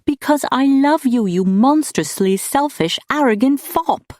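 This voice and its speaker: Woman voicing a fierce, passionate lady, swooping pitch. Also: expressive, takes it slowly, darkish tone, no echo, studio quality. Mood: angry, anguished. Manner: emphatic, heated, pleading. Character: fierce, passionate, stubborn young lady in love. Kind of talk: audiobook character dialogue.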